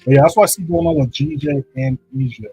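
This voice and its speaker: A man with a deep voice hosting a live trading stream, talking casually through charts and often checking in with "right?". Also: fast, dark tone, husky, highs cut off, soft background music. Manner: loose, repeats "right?" to check in with viewers. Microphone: streaming setup microphone.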